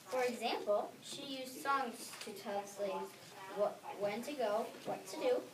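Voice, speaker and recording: A woman's voice: a little high, measured pace, a crowd in the background, close to an everyday voice. A girl of about eight or nine reading the part of a child who tells a family story, in an earnest school readers theater style. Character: grandparent-taught child telling great-grandpa's escape story. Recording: echoey noisy room from far off.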